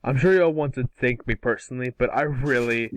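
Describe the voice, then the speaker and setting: A man's voice: mid-range voice, fairly quick, dry recording, natural voice, poor audio. A man playing a cocky, boastful boy superhero made of fire in an amateur cartoon table read. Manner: boastful, wisecracking.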